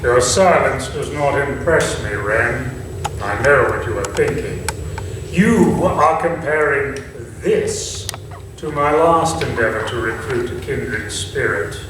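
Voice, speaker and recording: Man acting a slow, menacing Victorian gentleman villain. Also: mid-range voice, expressive. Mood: cool, imperious. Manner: formal, deliberate, theatrical delivery. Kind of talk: staged reading of a Victorian thriller. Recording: distant, echoey stage recording with faint noise.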